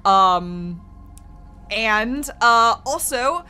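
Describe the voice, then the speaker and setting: A woman's voice: animated, extremely slow, sharp tone. A young woman streaming a game, chatting casually, laughing often and trailing off into restarts. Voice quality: low gravelly voice. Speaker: streamer and voice actor. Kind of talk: casual chat while gaming.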